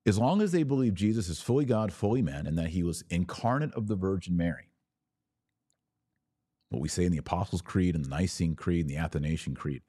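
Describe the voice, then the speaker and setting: A man's voice: sits low, expressive, a little fast, mellow, husky, dry recording, clean studio sound. A man hosting a religious podcast, speaking in emphatic, short declarative phrases as he argues a point of faith to his viewers. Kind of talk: live apologetics talk answering viewer comments.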